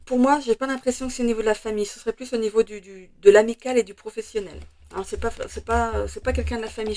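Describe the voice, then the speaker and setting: A French woman with a slightly hoarse voice, chatting through a tarot card reading with many hesitations and fillers. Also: medium pitch, a little mellow. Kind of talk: casual weekly tarot reading.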